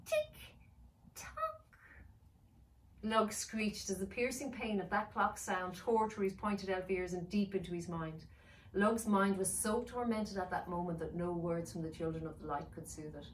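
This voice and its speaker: A woman author narrating her children's fantasy novel in a measured, expressive storytelling way. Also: lowish voice. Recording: roomy, distant mic with faint noise.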